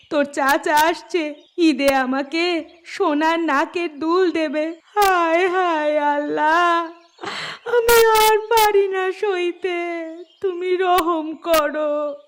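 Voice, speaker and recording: A woman voicing a grieving, pious village widow in a cartoon, crying and lamenting in a tearful, drawn-out way. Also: very high-pitched, steady tone, a little hiss or hum, heavily acted. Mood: wailing grief. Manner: slow, tearful, wailing lament. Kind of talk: cartoon voice acting. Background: music.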